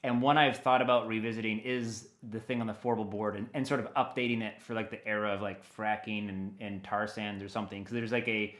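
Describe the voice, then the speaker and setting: An American man talking casually and enthusiastically as an interview guest, animated and given to rambling asides. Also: lowish voice, fairly quick, few pauses. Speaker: interview guest, audio dramatist.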